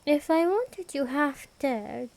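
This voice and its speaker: A woman acts out a female vampire queen in an intimate ASMR roleplay. Her voice sits high and moves very slowly, each phrase deliberate. She is imperious and teasing, a predator who is possessively protective. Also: expressive, creaky, a little mellow, exaggerated voice. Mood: amused, knowing.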